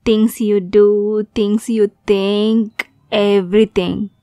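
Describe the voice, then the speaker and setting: A woman's voice: mid-range voice, flat delivery, dark tone, no echo, close to an everyday voice. A woman playing a young, obsessive yandere girlfriend kidnapper in an ASMR roleplay, cutesy and teasing with sweet menace. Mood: playful, possessive. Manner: slow, cutesy, teasing, breathy asides.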